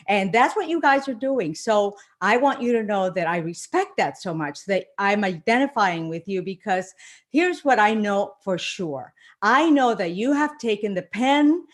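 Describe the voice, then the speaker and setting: Energetic woman giving a keynote. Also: medium pitch. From Puerto Rico. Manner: energetic, animated, addresses the audience directly. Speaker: keynote speaker. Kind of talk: motivational speech with jokes.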